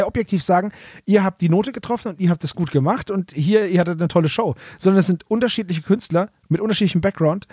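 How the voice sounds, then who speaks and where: A man hosts a podcast in casual, conversational style. His voice sits high for a man and has a husky edge. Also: darkish tone, dry recording, clean studio sound. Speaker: podcast host.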